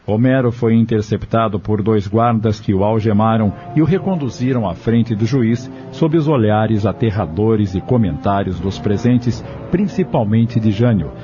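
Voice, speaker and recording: Casting: male narrator for radio novela, lowish voice, scripted storytelling, muffled with clear music behind. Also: moderate pace, hardly any pauses, dark tone. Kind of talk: scripted audio drama narration. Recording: muffled lo-fi audio over music.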